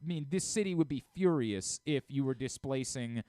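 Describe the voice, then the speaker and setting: A man's voice: mid-range voice, expressive, moderate pace, warm tone, clean tone, no room sound. A man hosting a sports radio show in casual discussion, emphatic and repeating phrases to press his point. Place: radio studio.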